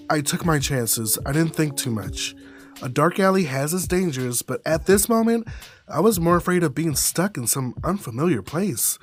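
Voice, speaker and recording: A man reading a visual novel aloud as a streamer, with dramatic, deliberate phrasing. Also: on the high side. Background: music.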